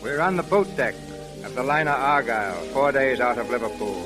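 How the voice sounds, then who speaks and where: A man hosting a radio theatre, reading scripted narration in a formal, measured 1930s showman style. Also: mid-range voice, expressive, loud music behind the voice. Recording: crackly, hissy 1930s radio recording.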